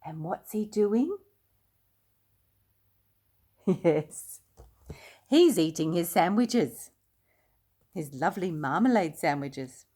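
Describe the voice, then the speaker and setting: An older woman reads aloud to young children. Her delivery is slow and expressive with lively swings in pitch, and she pauses for simple asides and questions. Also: husky. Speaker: children's story reader. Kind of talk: storytime read-aloud with asides to young listeners.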